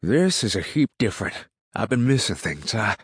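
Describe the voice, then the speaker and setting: A man's voice: low-pitched, measured pace, dry recording. Man performing grumbling rural farmer, ill-tempered, suspicious, folksy grammar, storybook dialogue, dry close sound. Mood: indignant. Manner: folksy ungrammatical country speech, grumbling. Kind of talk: audiobook character dialogue.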